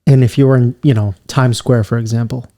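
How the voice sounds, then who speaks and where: Man with a New York accent, dark voice. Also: medium pitch, brisk. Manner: animated storyteller, mimics accents, says bro. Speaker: podcast co-host. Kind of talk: casual chat.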